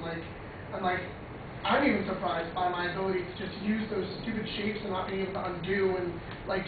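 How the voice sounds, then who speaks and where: A woman in her twenties presents informally to a group in a loose, conversational manner. She is heard from far off in a very reverberant room. The sound is thin and lo-fi, with clear noise around her. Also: medium pitch. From Long Island, United States. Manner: rambling, conversational, uses "like" often. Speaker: student presenter, creative trainer. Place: echoey room, recorded from far off. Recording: muffled lo-fi, echoey room, distant mic, noisy.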